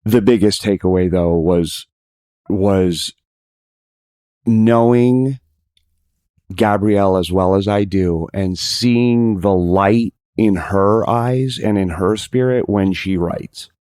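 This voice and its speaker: A man's voice: fairly low pitch, slow pace, dark tone, smooth, dry recording, studio quality. A man talking as a podcast guest, casual, earnest and emphatic, repeating himself to stress his point.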